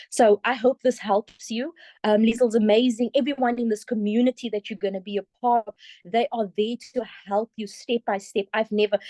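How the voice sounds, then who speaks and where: A woman's voice: medium pitch, mellow, no room sound. A woman with a South African accent giving an earnest, emphatic motivational testimonial, often checking in with her listeners. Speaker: community member giving a testimonial.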